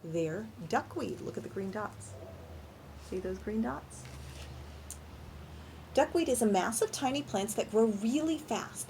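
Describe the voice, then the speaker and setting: A woman reading a picture book aloud to young children in an animated storytime style, pausing to ask questions and explain things simply. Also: medium pitch, a little hiss or hum. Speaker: children's librarian and storytime reader.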